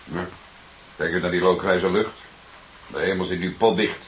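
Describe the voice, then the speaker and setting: An actor with a Dutch accent playing a seasoned, fatherly police detective who speaks deliberately and ponderously in a radio play. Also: low voice, fairly even intonation, natural voice. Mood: brooding, reflective. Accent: Dutch, from the Netherlands. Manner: deliberate, repeats others' words questioningly. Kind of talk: radio drama acting. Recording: noisy radio play with clicks.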